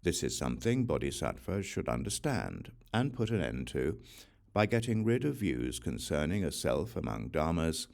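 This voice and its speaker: A man with an extremely low voice reads sacred text as a narrator. His delivery is solemn and deliberately phrased, with lively movement in the intonation and a noticeable creak at the bottom of his register. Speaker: audiobook narrator. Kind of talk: scripted reading of a Buddhist sutra.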